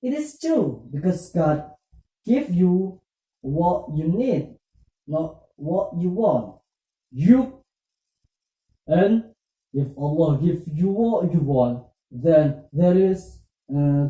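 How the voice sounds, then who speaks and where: Puppet-show storyteller, halting and deliberate delivery, slow with a lively swinging pitch, distant echoey recording. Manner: halting, deliberate phrasing in non-native English. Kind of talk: slow scripted puppet-show dialogue on religion. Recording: roomy echo, distant muffled microphone.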